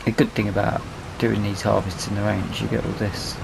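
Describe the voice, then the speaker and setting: A man's voice: fairly low pitch, little pitch movement, a little fast, creaky, very noisy. A man giving casual let's play commentary on a video game, muttering to himself as he plays. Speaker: gaming video host.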